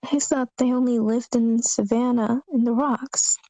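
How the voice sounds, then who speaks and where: A woman reads a guarded grown daughter in a film script. Her voice is high yet soft-edged and mellow, and her replies are brief and understated. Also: steady tone, a little slow, rough recording. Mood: guarded, casual. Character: guarded grown daughter and therapy patient, Josie. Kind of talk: screenplay table read.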